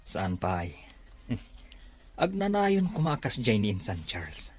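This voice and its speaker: A man's voice: lowish voice, very animated, slow. A man playing a scheming, gloating cousin who plots against the boss in an Ilocano radio comedy-drama.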